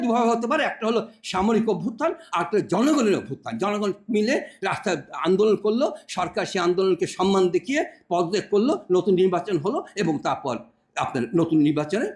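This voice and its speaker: An older Bangladeshi man speaks in an echoey space, his voice pitched high. He delivers a political speech with emphatic, instructive phrasing and swings widely in pitch as he puts questions to his listeners. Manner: emphatic, didactic, rhetorical questions. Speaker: public speaker. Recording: echoey room sound.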